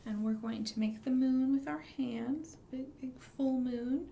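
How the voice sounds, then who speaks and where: A woman's voice: sits high, a little slow, vocal fry, mellow, slight background noise. A woman hosting a storytime for young children, reciting rhymes and presenting books with simple questions and prompts.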